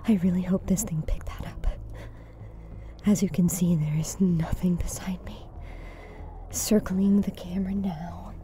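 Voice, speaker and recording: A woman playing a wary woman filming alone in the woods in an audio drama, hushed and hesitant. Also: lowish voice, steady tone, unhurried, slight background noise, natural voice. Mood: hushed, uneasy.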